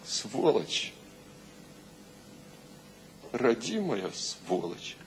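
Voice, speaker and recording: Intense male poet, hugely animated intonation, bitter yet exalted, theatrical radio play, faint noise. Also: medium pitch, very slow, poor audio. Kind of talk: theatrical radio drama dialogue.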